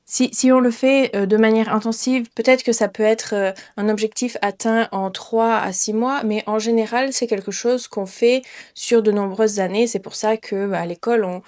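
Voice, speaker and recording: A woman's voice: on the high side, fairly even intonation, moderate pace, darkish tone. Young french teacher lady, chatty, lots of ums and restarts, easygoing lesson. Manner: chatty, many fillers and self-corrections. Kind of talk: relaxed spoken lesson for learners.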